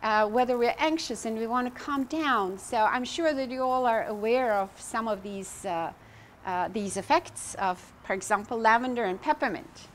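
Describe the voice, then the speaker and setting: A woman's voice: fairly high pitch, normal speed, a little hiss or hum. A woman in her fifties, originally from Croatia, giving an informal wellness lecture with many fillers and restarts, gesturing as she speaks. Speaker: foundation president giving a lecture. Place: indoor pavilion room in a park.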